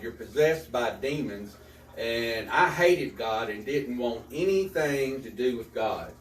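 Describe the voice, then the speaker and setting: A man in his fifties, an author talking earnestly in a casual interview and often repeating words as he starts a thought. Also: medium pitch. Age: 50s. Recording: echoey room, distant mic.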